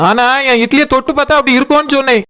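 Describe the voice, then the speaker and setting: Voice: high-pitched, steady tone, very fast, a bit bright. A performer voicing a chatty, boastful yet deferential idli seller in a comic cartoon, with pleading sales patter and a Kanyakumari Tamil accent. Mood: eager, defensive.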